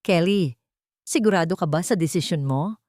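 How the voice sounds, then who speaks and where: A woman voicing a calm, doubtful, wealthy businessman in a narrated story. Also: on the low side, normal speed, no echo, studio quality, close to an everyday voice. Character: wary, calm, wealthy businessman, arrogant and shrewd.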